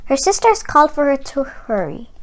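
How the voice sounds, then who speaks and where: A woman reading a children's storybook aloud in a careful, expressive storytelling delivery. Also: high-pitched, normal speed. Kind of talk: expressive scripted storybook narration.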